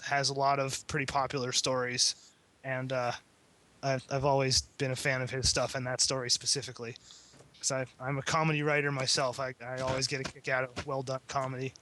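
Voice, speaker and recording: A man chatting casually on a podcast, retelling things in a hesitant, halting way. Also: medium pitch, bright, forceful tone. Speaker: podcast panelist. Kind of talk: casual chat, retelling a story.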